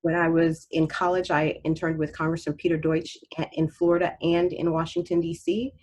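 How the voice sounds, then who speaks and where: A woman's voice: sits low, little pitch movement, fairly quick, a little mellow, rough recording. A woman from Haiti raised in Miami, a state representative speaking in a candidate forum, confident and assertive. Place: video call.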